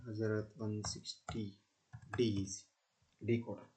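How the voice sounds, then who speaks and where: A young man with an Indian accent giving a technical demonstration as a student presenter, explaining haltingly with repeated phrases. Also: on the low side, level tone, dark tone. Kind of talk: screen-recorded technical demonstration.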